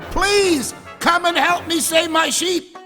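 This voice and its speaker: A man's voice: very high-pitched, fairly even intonation, takes it slowly, sharp tone, soft background music, pitched above the performer's own voice, exaggerated voice. A man voicing a mischievous, emotional shepherd boy in an exaggerated storybook style. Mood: panicked screaming. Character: mischievous shepherd boy, giggly, whiny, panicky, later remorseful.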